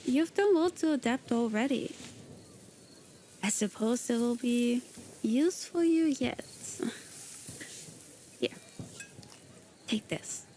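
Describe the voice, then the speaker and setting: A woman voicing a composed, gracious young guild leader who talks in a formal, measured, slightly aloof way in a roleplay voice pack. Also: fairly high pitch, animated, warm tone, a little hiss or hum.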